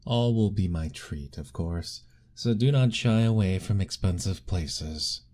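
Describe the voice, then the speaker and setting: A man doing a deep, courtly vampire lord. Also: measured pace. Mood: courtly, persuasive. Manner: formal, old-fashioned, gently pleading; soft, intimate, often whispered. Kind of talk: ASMR roleplay audio.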